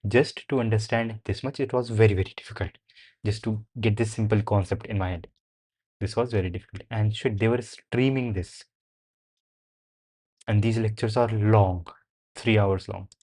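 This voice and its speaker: A man's voice: lowish voice, fairly even intonation, moderate pace, a little mellow, breathy edge. A young man, a native Hindi speaker, streaming and chatting casually while answering chat questions and thinking aloud, with the odd swear word.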